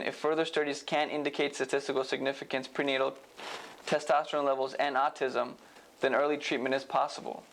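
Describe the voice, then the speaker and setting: A young man presents scripted educational material in a formal, explanatory way. His medium-pitched voice has a noticeable creak, and there is faint noise behind it. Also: a bit bright, poor audio. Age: young adult. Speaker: medical student presenter.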